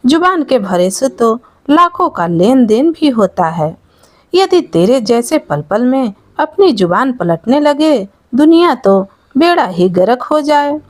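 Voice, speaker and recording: A woman's voice: high-pitched, sing-song, slow pace, dry recording, heavily acted. A woman storyteller voicing a cunning, bullying male merchant who scolds and lectures in a moral tale. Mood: preachy. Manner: scolding, lecturing, self-righteous.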